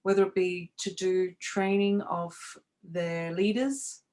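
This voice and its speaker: A woman's voice: lowish voice, steady tone, takes it slowly, a little mellow. Woman hosting an online webinar. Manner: welcoming, talks with many fillers and restarts. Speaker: webinar host and coach trainer. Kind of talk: live online webinar presentation. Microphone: video call.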